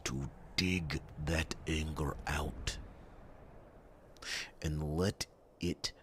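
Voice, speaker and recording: A man playing a grave, resolute warrior in an ASMR fantasy roleplay, speaking solemnly and deliberately, with dramatic pauses and a gravelly, breathy rumble. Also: steady tone, heavily acted. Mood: imploring, intense.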